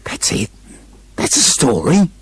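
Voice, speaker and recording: Husky, grumbling wolf. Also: male voice, a little high, wildly swooping pitch, takes it slowly, no echo, heavily acted. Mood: incredulous. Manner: incredulous, dry, argumentative. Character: grumbling, sardonic, put-upon adult male wolf. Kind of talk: comic radio play acting.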